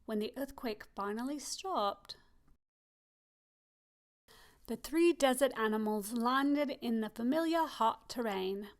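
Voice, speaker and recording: A woman reading a children's picture book aloud, expressive and slowing down on key phrases. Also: fairly high pitch. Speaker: storybook reader. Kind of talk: children's story read-aloud.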